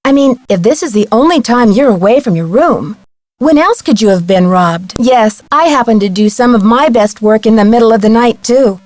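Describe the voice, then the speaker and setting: A woman's voice: fairly high pitch, lively intonation, moderate pace, a little mellow, no room sound, natural voice. A woman playing a polite, inquisitive young female amateur detective in scripted video game dialogue. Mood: curious, persuasive. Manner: courteous, inquisitive, clearly enunciated.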